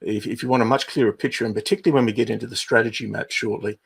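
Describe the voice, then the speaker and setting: Gravelly Australian man hosting a livestream. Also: mid-range voice, fairly even intonation. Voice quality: gravelly, husky. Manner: unhurried, many uh and um fillers. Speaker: livestream host, business advisor. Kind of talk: livestream housekeeping talk.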